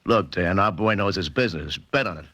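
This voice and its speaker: A man's voice: low voice, fairly quick, sharp tone, no echo. An actor playing a cocky, breezy police lab man in a 1940s radio drama, wisecracking in short clipped phrases. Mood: confident.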